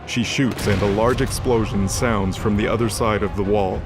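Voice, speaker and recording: Low-voiced man narrating over music. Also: fairly even intonation, moderate pace. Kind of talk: scripted dramatic fantasy narration. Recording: loud music under the voice.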